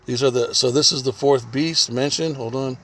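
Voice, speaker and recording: A man's voice: medium pitch, moderate pace, a bit bright, a little hiss or hum. Blunt, profane man ranting casually. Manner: blunt, emphatic, swears heavily. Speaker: religious commentator.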